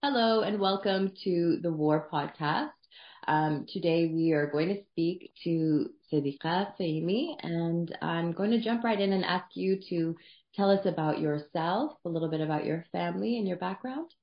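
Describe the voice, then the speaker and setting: Podcast host: woman, lowish voice, conversational questions, echoey lo-fi sound. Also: normal speed. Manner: chatty, conversational, some fillers. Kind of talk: interview questions. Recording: dull sound in an echoey room.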